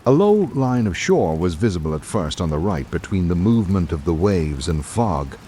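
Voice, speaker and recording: A man hosting and narrating in a scripted old-time radio drama style, with dramatic, measured delivery. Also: deep voice, very animated, dark tone, a little hiss or hum.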